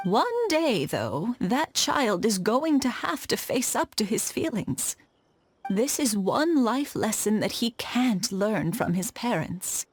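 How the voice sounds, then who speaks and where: Casting: wise, gentle mature game character, unhurried, expressive pitch, music behind. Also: female voice. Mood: wistful. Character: gentle, reflective mature woman, wistful and wise. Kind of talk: English-dubbed anime game acting.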